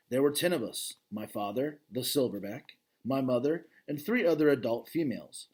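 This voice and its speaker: A father reads a children's book aloud in a lively voice that swings up and down in pitch. He stops now and then to talk straight to his listeners about the story, then goes back to reading. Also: slightly rough. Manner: expressive reading, breaks off to comment directly to listeners. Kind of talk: reading a children's novel aloud with chatty asides.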